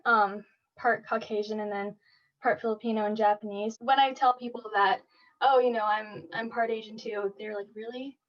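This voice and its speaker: A woman's voice: medium pitch, steady tone, normal speed. A teenage girl co-hosting a podcast, chatting casually and laughing often. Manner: chatty, laughs often, lots of "like" and "you know". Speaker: podcast co-host. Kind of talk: casual chat. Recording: slightly dull lo-fi audio.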